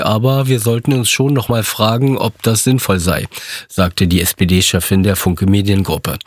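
A man reading a radio news bulletin and weather forecast in a steady, formal way. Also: on the low side, dry recording, studio quality. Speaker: newsreader. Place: radio studio.

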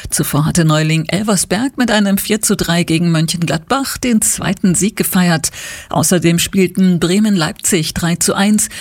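A woman reading the radio news and weather in an even, measured studio delivery. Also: medium pitch, no echo. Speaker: newsreader.

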